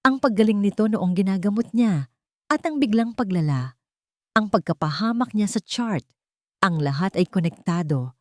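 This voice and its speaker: A woman's voice: mid-range voice, lively intonation, normal speed, no room sound, studio quality. A woman with a Filipino accent reading a scripted story as a dramatic narrator.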